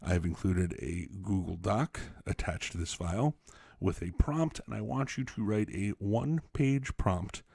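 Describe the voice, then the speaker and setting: A man reading an essay aloud as a teacher, expressively, with emphatic, deliberate phrasing. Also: on the low side, a little mellow, no echo, studio quality. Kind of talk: expressive read-aloud narration for students.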